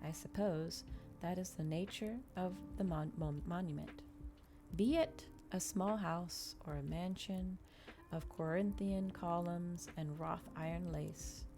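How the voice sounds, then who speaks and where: A woman reading a novel aloud in a soft, measured ASMR style, close to the microphone. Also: lowish voice.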